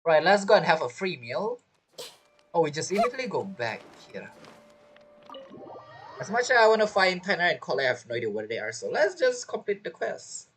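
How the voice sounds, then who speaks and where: Rambling game streamer, lively pitch. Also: a little fast, long pauses, slight background noise. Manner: rambling, thinking aloud. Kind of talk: casual live gameplay commentary.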